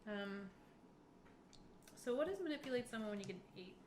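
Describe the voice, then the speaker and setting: A woman's voice: fairly high pitch, expressive, brisk. A woman acting as game master, improvising narration and informally talking her players through their choices. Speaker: game master running a tabletop session. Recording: echoey room with background noise.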